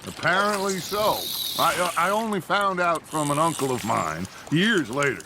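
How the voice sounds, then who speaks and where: A man playing a charismatic, wistful middle-aged American outlaw gang leader in a Western video game, speaking in theatrical, deliberate phrases. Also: fairly high pitch, expressive. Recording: water and boat noise behind.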